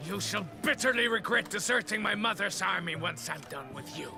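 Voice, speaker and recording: A man's voice: sits high, expressive, normal speed, gravelly. A man playing a haughty, vengeful knight in a game, pompous and threatening in a theatrical way. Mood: menacing. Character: haughty vengeful knight demanding a duel of honor. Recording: music behind the voice. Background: game music.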